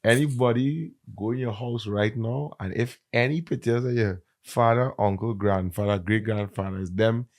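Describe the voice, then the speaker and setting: A man with a Trinidadian accent, a content creator and comedian, chatting in an interview and telling stories with lively emphasis. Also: fairly low pitch, moderate pace, few pauses. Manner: animated, emphatic storyteller. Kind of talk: casual interview chat.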